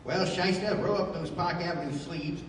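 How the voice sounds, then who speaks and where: An actor playing a scrappy, wisecracking adventurer sidekick with slangy, grumbling banter in 1930s radio style. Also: male voice, high voice, normal speed, natural voice. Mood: grumbling, cocky. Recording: echoey hall from far off, faint noise.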